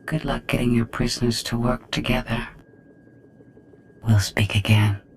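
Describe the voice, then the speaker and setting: A man's voice: mid-range voice, level tone, unhurried, a little hiss or hum, natural voice. Man playing a smug, coolly murderous game villain. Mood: cool menace. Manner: articulate, condescending, coolly menacing. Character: mysterious hostile intelligence, smug, coolly murderous. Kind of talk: video game villain acting.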